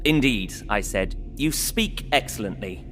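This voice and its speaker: A man's voice: fairly low pitch, expressive, unhurried, fairly bright tone, soft background music. An actor playing a polite, earnest young English solicitor narrating his journal in an audio drama with formal, precise diction. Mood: polite, reassuring.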